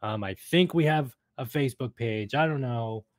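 A man's voice: mid-range voice, moderate pace, no room sound, clean studio sound. A man co-hosting a casual gaming podcast, talking enthusiastically in a rambling way and repeating phrases for emphasis. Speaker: podcast co-host.

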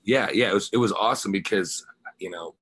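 A man's voice: low voice, fairly quick, fairly bright tone, breathy edge. An American man from the Ozarks in Missouri, a guest telling stories casually in an interview in a rambling, anecdotal way. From small town in the Ozarks, Missouri, USA. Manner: rambling, anecdotal, peppered with "you know". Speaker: guest, rock guitarist and singer.

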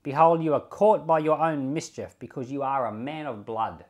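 Guy reading as an angry man cursing a king in a bible story, loud accusing declamation. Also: fairly high pitch, fairly even intonation, normal speed, natural voice. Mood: scornful, angry.

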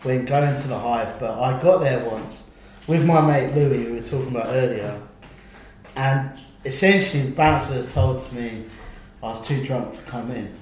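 A man's voice: medium pitch, moderate pace, a little mellow, a little hiss or hum. English man, podcast host, rambling casual chat, very echoey room, faint background ambience. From England. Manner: rambling, restarts, laughs often.

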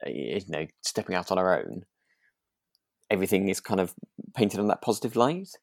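A man's voice: sits low, sing-song, fairly quick, creaky, dry recording, clean studio sound. A man co-hosting a podcast, casually discussing a drama and qualifying his points as he goes. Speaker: podcast co-host. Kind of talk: relaxed conversational discussion of a radio drama.

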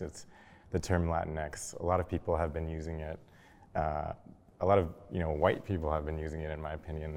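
A man's voice: low voice, a little mellow, slight background noise. A man moderating a panel discussion in a casual, plain-spoken, conversational way. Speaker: panel host. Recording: long hall-like reverb.

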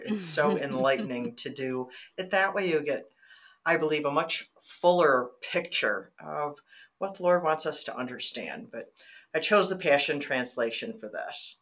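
Bright-voiced woman from Michigan. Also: a bass voice, normal speed. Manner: earnest, animated, laughs while telling stories. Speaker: podcast guest, faith-based coach. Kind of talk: casual faith podcast chat and scripture reading.

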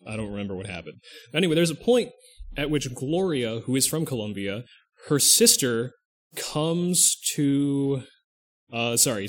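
Rambling man thinking aloud. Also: medium pitch. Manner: rambling, thinks aloud, restarts and self-corrects often. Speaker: podcast co-host. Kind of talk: casual podcast chat.

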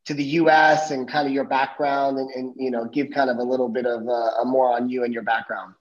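A man hosting a podcast, asking casual questions in a relaxed, self-deprecating way and often repeating words as he starts. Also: mid-range voice, fairly quick. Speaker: podcast host. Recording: dull lo-fi recording.

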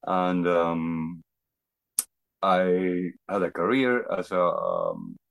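Middle aged guy from argentina, deep voice, slow to find his words, telling his life story, no room echo. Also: lively intonation, gravelly. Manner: halting, reflective, searching for words. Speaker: interview guest. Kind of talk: personal testimony in conversation.

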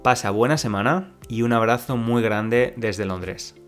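A young man from Spain with a Spanish accent, hosting a podcast lesson for learners and speaking slowly and clearly like a teacher. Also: mid-range voice, a bit bright, faint music behind. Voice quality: slow, clear teacher speech.

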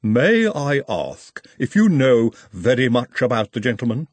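A man voicing a mysterious, formal stranger who speaks slowly and politely. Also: medium pitch, sing-song, no room sound. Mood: grave. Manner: slow, measured and polite.

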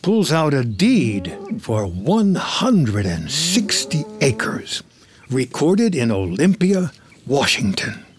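A man with a husky voice reading scripted, present-tense screenplay narration as a storyteller. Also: medium pitch, very animated, takes it slowly, crowd noise.

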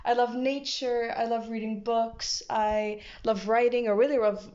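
A woman's voice: a little high, level tone, a little mellow. A teenage girl co-hosting a casual podcast chat, gushing and giggly, a native Arabic speaker talking in English. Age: teens. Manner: giggly, gushing, quick bursts. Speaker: podcast co-host. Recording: dull lo-fi audio.

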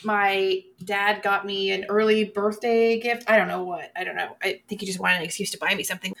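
Creaky-voiced woman chatting casually. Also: medium pitch, steady tone, brisk, fairly bright tone. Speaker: podcast co-host. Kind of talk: casual chat about horror movies.

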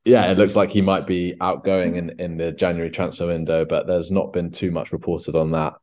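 A young man with an extremely deep, low voice hosts a relaxed football podcast. He rambles through long points, full of ums, restarts and asides. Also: a little fast. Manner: rambling, many restarts and fillers. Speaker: podcast host. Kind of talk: casual football podcast chat.

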